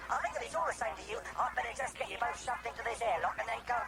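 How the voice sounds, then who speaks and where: Casting: a performer playing a dim, blustering alien guard, easily swayed, middling pitch, for sci-fi comedy with heavy music underneath. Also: rapid-fire, gravelly, close to an everyday voice. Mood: hesitant, matter-of-fact. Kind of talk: amateur sci-fi comedy audio drama.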